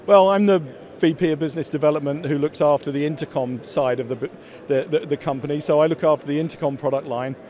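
A man's voice: a little high, fast, a little mellow. A man in his fifties explaining products as a company executive in a trade show interview, often restarting and repeating words. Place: busy exhibition hall. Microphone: handheld interview microphone held by interviewer.

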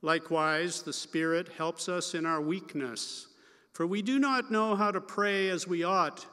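An older man with a Canadian accent reading scripture and preaching a sermon from a pulpit in a wood-paneled church, in a slow, measured way. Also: on the high side, a bit bright. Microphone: pulpit microphone at lectern. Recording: long church reverb.

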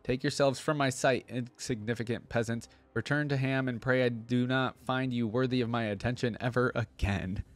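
A man's voice: mid-range voice, steady tone, moderate pace, few pauses, lightly acted. A man voicing a small, pompous evil wizard who declaims grandly, as in a storybook reading. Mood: scornful, grand.

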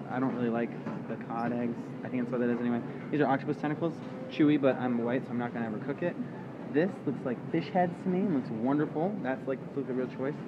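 A young man giving a chatty, joking walking tour of a supermarket fish aisle, filmed on a handheld camera. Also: mid-range voice. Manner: chatty, offhand, jokey. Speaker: vlogger. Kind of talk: casual walking tour commentary. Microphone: handheld camera held close. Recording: dull lo-fi camera audio with loud store noise. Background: loud supermarket hum and bustle.

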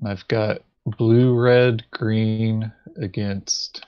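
A man plays through a board game in dry, laconic casual chat. He talks extremely slowly at a middling pitch, and the microphone sounds slightly muffled. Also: flat delivery, smooth.

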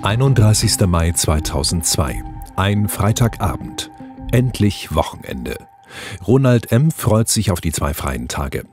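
A man's voice: sits low, sing-song, unhurried. A man reading scripted true-crime narration in short, dramatic sentences, recorded in a studio. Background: background music.

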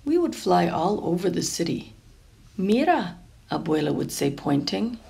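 A woman reading a children's picture book aloud in an expressive, deliberate storytelling way, lingering over phrases. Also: lowish voice, creaky. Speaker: storybook reader.

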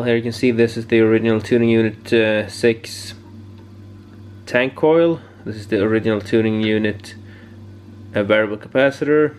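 A man giving a casual, unscripted hobby demonstration, haltingly searching for words, in a home workshop. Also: lowish voice, a little mellow. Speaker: amateur radio hobbyist presenting homebrew project. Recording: clear background noise, room ambience.

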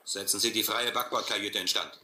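A man playing a gruff, curt seafarer aboard a schooner in a German radio play. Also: on the low side, fairly even intonation, a little fast, bright, forceful tone. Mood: brusque. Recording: roomy, noisy old radio play.

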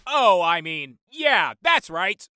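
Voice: mid-range voice, sing-song, takes it slowly, background noise. An actor voicing a brash, stubborn male demon boss in an audio drama, informal and insistent. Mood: flustered. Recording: loud music under the voice.

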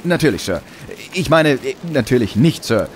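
A man's voice: mid-range voice, lively intonation, moderate pace, a little hiss or hum, lightly acted. An actor playing an eager, deferential village policeman in a German radio drama, hesitant and polite. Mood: flustered, respectful.

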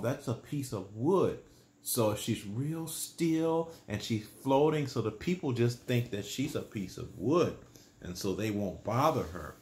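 A man in his sixties reading a children's bedtime story aloud in a small room, pausing to explain things simply and patiently. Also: mid-range voice, darkish tone, reverberant.

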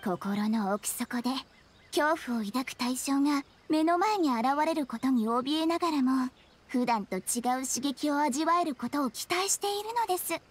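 An actress playing an eerie, courteous young shrine maiden in an anime game, formal and teasingly ominous. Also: female voice, high-pitched, lively intonation, moderate pace. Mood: politely unsettling.

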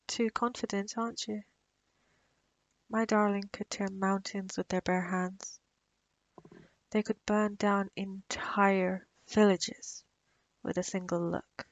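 Woman playing a creaky, slow-talking possessive vampire woman. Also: medium pitch, no echo, muffled recording. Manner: theatrical, slow, taunting, giggly. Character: possessive, menacing female vampire doting on her mate. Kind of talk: ASMR roleplay audio drama.

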